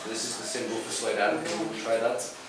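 A man's voice: medium pitch, level tone, fast talker. A man in his thirties with a British English accent, leading a workshop with a joking, direct, emphatic manner. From England. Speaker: workshop leader. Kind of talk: lively workshop talk with guided exercises. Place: small cafe room, indoors, live audience. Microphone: far camera. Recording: echoey room from far off, noisy.

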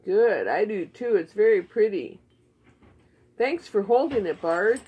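A woman's voice: mid-range voice, animated, unhurried, lo-fi sound, a little hiss or hum. A woman chatting casually and encouragingly in short, simple questions, as if to a child in a home puppet show. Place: living room beside a Christmas tree at home. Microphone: phone camera a short way off.

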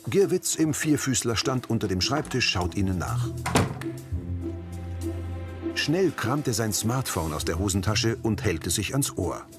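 Man, scripted scene narration, low pitch, prominent music behind. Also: very animated, measured pace, pauses often. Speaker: audio description narrator.

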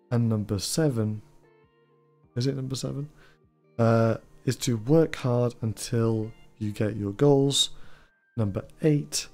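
Low-key young man, mellow. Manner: self-described monotone, rambling with fillers. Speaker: trading channel host. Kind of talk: casual talking-head advice video. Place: small room indoors. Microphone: studio mic on boom arm, headphones.

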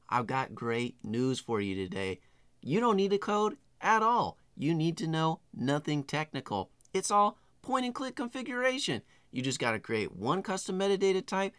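A middle-aged man hosting a casual screen-share software tutorial in a tongue-in-cheek, playfully exaggerated way. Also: fairly high pitch, smooth, no room sound. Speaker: tutorial host.

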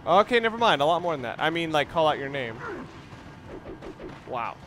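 A man giving unscripted gameplay commentary, explaining things in a halting, analytical way. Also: on the high side, very animated. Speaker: let's play commentator. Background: video game sounds and music.

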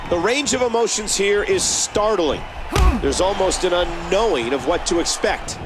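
Brief: a game wrestling commentator, fairly high voice, roaring arena crowd loud behind him, game audio. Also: male voice, lively intonation, normal speed, noisy background. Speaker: play-by-play commentator.

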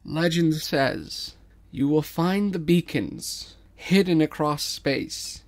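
A man voicing clamoring, worshipful space station townsfolk, loudly proclaiming in a storytelling podcast. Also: on the high side, slow pace, voice pushed up. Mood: pleading, reverent. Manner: loud, proclaiming.

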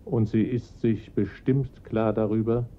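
An actor playing a formal, calm police inspector who explains the case patiently. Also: male voice, fairly low pitch, lo-fi sound, slight background noise, lightly acted. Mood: measured. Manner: measured, formal, reassuring. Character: calm, dutiful middle-aged male police inspector. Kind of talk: radio drama acting.

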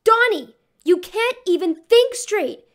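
A worried teenage girl in a comic dub, voiced by a woman. Her voice is very high, and she speaks in an insistent, exasperated, scolding way. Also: animated, slow, heavily acted. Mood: exasperated concern.

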